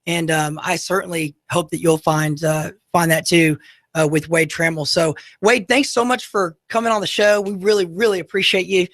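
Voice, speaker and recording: High, goofy-voiced man hosting local radio. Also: steady tone, moderate pace, a bit bright, dry recording, clean studio sound. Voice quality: high-pitched, goofy-sounding voice. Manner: folksy, salesy, addresses listeners directly. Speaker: radio show host. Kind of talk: local talk radio hosting with sponsor reads. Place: radio studio.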